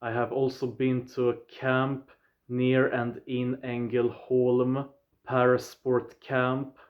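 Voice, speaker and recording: Slow-talking Swedish young man vlogging. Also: medium pitch, completely flat delivery, lo-fi sound. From southern Sweden. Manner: deliberate, plain phrasing, starts points with "yeah". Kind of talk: casual personal recap to viewers.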